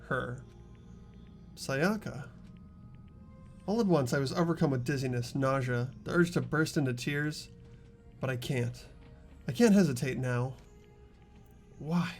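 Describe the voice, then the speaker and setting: A man voicing an earnest, anguished teenage boy student investigating a murder, in dramatic halting monologue for a visual novel. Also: fairly high pitch, close to an everyday voice. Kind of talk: voiced visual novel reading.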